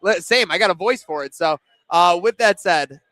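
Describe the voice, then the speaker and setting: A man's voice: high-pitched, moderate pace, a bit bright, no room sound. A young man co-hosting a sports pre-game show from a pizza pub, chatting and joking casually.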